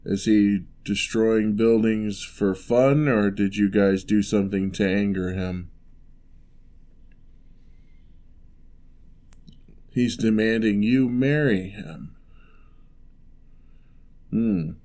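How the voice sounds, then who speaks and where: A man playing a huge, gentle, courteous giant in an ASMR roleplay, speaking softly with wry, teasing, old-fashioned politeness. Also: lowish voice, fairly even intonation. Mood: warm, teasing. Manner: unhurried, soft-spoken, old-fashioned courteous phrasing.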